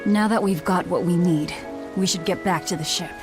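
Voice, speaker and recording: Woman as a low, cold, curt fighter. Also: fairly even intonation, moderate pace, over music, close to an everyday voice. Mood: brisk. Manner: blunt, dry. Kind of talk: English video game dub acting.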